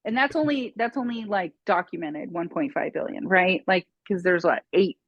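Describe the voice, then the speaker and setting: Woman guest, emphatic and matter-of-fact, low voice, dull lo-fi dry sound. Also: moderate pace, dry recording. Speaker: guest expert on mold and parasites. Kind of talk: casual podcast chat.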